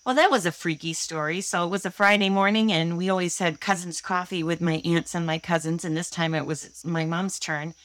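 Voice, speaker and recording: A woman's voice: on the low side, steady tone, a little fast, few pauses, a bit bright, no room sound. A woman in her sixties from Michigan, telling her own story earnestly and candidly as a guest on an interview show. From Holland, Michigan, USA. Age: 60s. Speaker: guest inventor.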